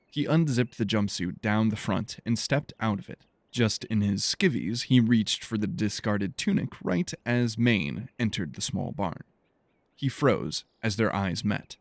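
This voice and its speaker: Low, gravelly male audiobook narrator. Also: dry recording. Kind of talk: scripted fiction narration.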